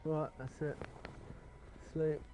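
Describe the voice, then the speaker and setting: Sweary man over loud music. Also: a little high, little pitch movement, slow, poor audio. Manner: blunt, sweary, jittery. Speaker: ghost-hunt team member. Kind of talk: casual chat. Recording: music playing under the talk.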